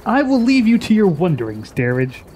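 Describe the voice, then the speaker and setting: Man playing a proud, high-voiced young warrior prince. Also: wildly swooping pitch, normal speed, darkish tone, a little hiss or hum. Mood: defiant. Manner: haughty, dramatic. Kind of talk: radio drama acting.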